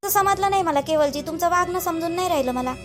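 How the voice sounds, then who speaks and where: A woman's voice: very high-pitched, fast, fairly bright tone, soft background music. A woman playing an aggrieved, stubborn young village wife in a family cartoon, protesting and questioning in a Vidarbha Marathi accent. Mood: hurt, puzzled. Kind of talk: family drama cartoon dialogue.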